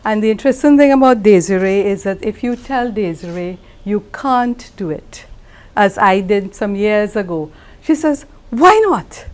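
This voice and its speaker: A woman speaks at a ceremony in a mid-pitched voice. She delivers a tribute emphatically, repeating phrases for effect and pausing deliberately between them. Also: animated. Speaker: judge giving tribute speech.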